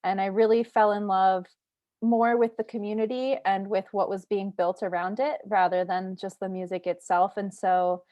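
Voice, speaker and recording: A woman who grew up in Washington, D.C., speaking calmly and reflectively as an artist on a panel. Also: mid-range voice, normal speed, no echo, lo-fi sound. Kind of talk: panel discussion.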